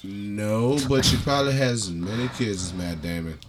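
A man's voice: on the low side, lively intonation, normal speed, a crowd in the background. A man bantering casually as a podcast co-host, telling stories with profane humor. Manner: profane, joking storytelling.

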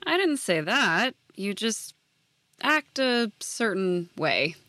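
Dry, sardonic school staffer, close-miked. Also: female voice, medium pitch, slow pace, no room sound, heavily acted. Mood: needling, resentful. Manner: sardonic, deadpan. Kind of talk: naturalistic audio drama acting.

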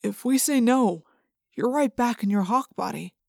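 A woman voicing a responsible teenage boy leader in an audiobook, direct, serious and forceful. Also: on the high side, animated, moderate pace, creaky, dry recording, studio quality.

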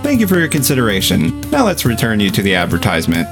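A pompous male disclaimer announcer, played by an actor for a parody commercial. He is formal and officious, with lively pitch movement over plucked guitar music. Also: fast talker. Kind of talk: scripted parody ad narration.

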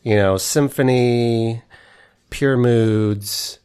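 A man co-hosting a casual podcast who speaks quietly and haltingly, with dry humor. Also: steady tone, clean tone. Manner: low-key, hesitant, dry humor. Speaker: podcast co-host.

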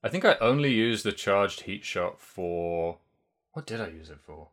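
A man's voice: on the low side, lively intonation, a little slow, a bit bright. A man co-hosting a podcast, chatting casually about video games and rambling through lots of restarts. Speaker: podcast co-host.

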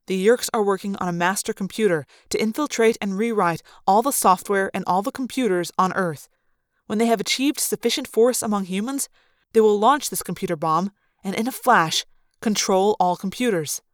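A patient, ancient male android speaks in a soft, measured way, as a woman reads him. His tone stays earnest and gentle. The sound is dry and close. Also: medium pitch, no echo, natural voice. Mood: quiet.

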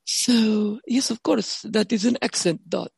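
A woman voicing a centuries-old, self-assured being in a theatrical, husky, croaky voice for an improvised audio drama. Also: on the high side, unhurried, a little mellow, no echo, full character voice. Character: centuries-old being boasting of many accents.

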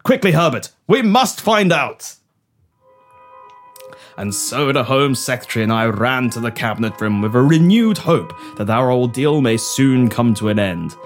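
Man doing a theatrical British Prime Minister, mid-pitched, sweeping intonation, pompous, florid, comic audio drama, music behind. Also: very animated, a little slow. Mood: alarmed. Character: pompous, melodramatic male British Prime Minister narrating his ordeal.

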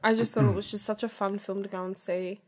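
A woman's voice: medium pitch, little pitch movement, fast, a little mellow. Woman, New Zealand accent, casual podcast chat, eager.

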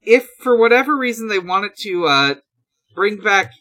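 A podcast host casually recapping a show in a halting, hesitant way. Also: medium pitch, lively intonation, sharp tone. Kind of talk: casual episode recap chat.

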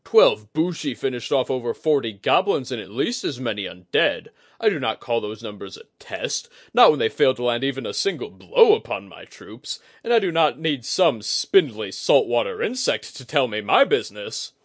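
A man voicing a proud, belligerent warrior commander in an audiobook story, loud, scornful and commanding. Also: mid-range voice, big pitch swings, moderate pace, hardly any pauses, breathy edge, pitched above the performer's own voice. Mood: angry.

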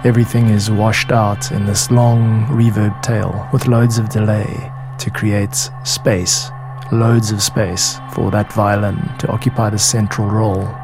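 A man hosting a podcast, explaining his own music in a measured, reflective way. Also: fairly low pitch, little pitch movement. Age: adult. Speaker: podcast host and music producer.

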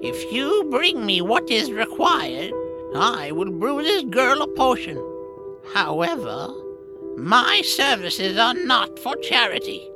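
A woman voicing a sly, haughty old crone witch in an audio drama, with a drawn-out, theatrical delivery. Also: on the high side, big pitch swings, music in the background. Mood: bargaining. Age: older.

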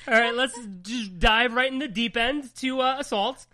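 A woman's voice: a little high, normal speed, pushed and bright. Chatty, rambling woman podcast host. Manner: rambling, swears freely, laughs often. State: congested from a cold. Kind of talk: casual podcast chat.

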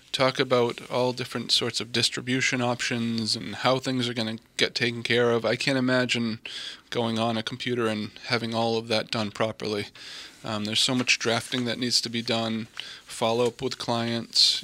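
American male expert guest, level intonation, sharp bright timbre, informal and matter-of-fact conversational delivery. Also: medium pitch. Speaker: estate planning lawyer, interview guest.

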